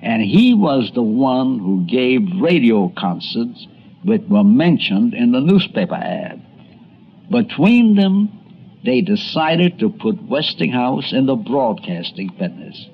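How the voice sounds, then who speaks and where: A man's voice: medium pitch, big pitch swings, slow, vocal fry, slight background noise. A man with an American accent narrating a scripted radio documentary. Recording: muffled, music underneath. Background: music.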